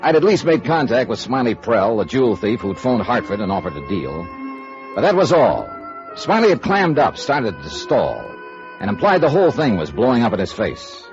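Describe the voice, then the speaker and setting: Wry, low-voiced radio noir detective. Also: male voice. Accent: American. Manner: wry, clipped, dry repartee. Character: sardonic, sharp-witted male insurance investigator, hard-boiled and unflappable. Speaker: radio actor, narrator and lead. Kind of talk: old-time radio noir acting with first-person narration. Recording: muffled vintage radio drama recording.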